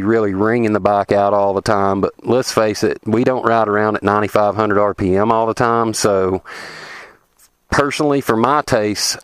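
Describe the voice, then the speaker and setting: Southern guy talking into his helmet cam out in the woods, kinda stuffy from a cold, lowish smooth voice, dry sound. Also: no room sound. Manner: relaxed, rambling, many "um"s. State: slightly congested from a cold. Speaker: motorcycle vlogger. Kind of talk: casual how-to talk to camera. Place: outdoors on a remote forest road. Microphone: helmet camera.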